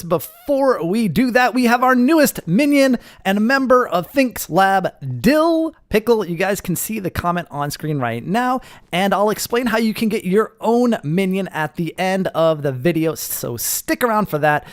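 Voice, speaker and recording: A man hosting a gaming video with casual, playful roleplay commentary and animated reactions. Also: high-pitched, a little slow.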